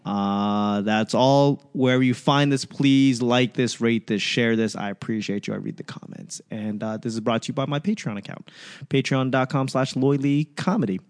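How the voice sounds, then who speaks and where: A man's voice: medium pitch, normal speed. Male podcast host, loose and profane, teasing banter. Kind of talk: casual podcast chat.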